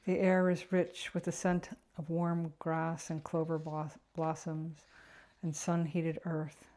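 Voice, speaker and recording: A woman narrating a guided meditation in a gentle, unhurried, reflective way. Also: sits low, completely flat delivery.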